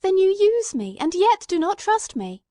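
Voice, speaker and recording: A woman voicing a detective's earnest, loyal male companion in an audiobook, speaking in a fervent, candid, excitable way. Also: very high voice, normal speed, no echo, studio quality, pitched above the performer's own voice. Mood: hurt, reproachful. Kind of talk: audiobook character dialogue.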